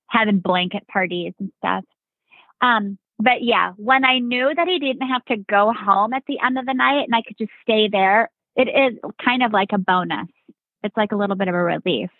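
A woman's voice: medium pitch, normal speed, vocal fry, no echo. Give me a woman Navy veteran rambling through a casual story in a mid-range voice over a narrow phone line. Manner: rambling, fills with "like" and "right?". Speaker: podcast guest, Navy veteran. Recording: tinny phone-like remote line.

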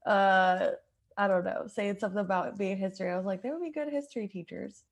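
A woman's voice: mid-range voice, a little fast. A woman hosting a comedy podcast in a casual, chatty style. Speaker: podcast host.

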